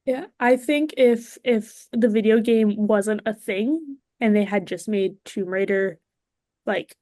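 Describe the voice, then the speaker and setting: A woman's voice: mid-range voice, takes it slowly, darkish tone, no echo, studio quality. A woman chatting casually as a guest on a podcast. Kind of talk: casual chat.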